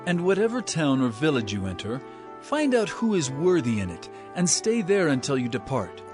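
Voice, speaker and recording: A man's voice: on the high side, wildly swooping pitch, normal speed. An actor playing an authoritative male teacher and healer, declaiming earnestly in a dramatized scripture reading over music. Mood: earnest instruction.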